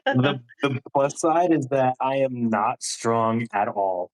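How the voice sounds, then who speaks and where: A man chatting casually as a tabletop game player, halting and often giggly. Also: medium pitch, expressive, low-quality recording.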